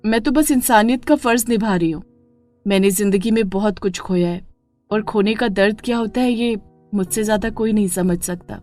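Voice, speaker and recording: A woman's voice: mid-range voice, normal speed, darkish tone, soft background music, natural voice. A woman voicing a sly, attentive young woman who plays the rival in a melodramatic story. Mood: gentle, knowing. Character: caring, sly young woman friend Mukta, coolly stealing a husband. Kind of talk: melodramatic Hindi moral story acting.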